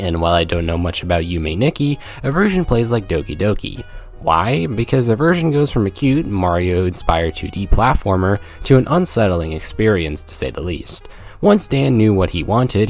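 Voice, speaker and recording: A young man reading a scripted video game review, with jokey, self-aware asides. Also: fairly low pitch. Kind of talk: scripted video essay narration. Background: background music.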